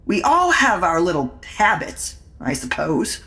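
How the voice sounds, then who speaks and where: A woman acts a tart society lady in an audio drama. She is haughty and unflappable, with dry, sardonic phrasing. Her intonation is highly animated. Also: unhurried, heavily acted. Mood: tart, defiant.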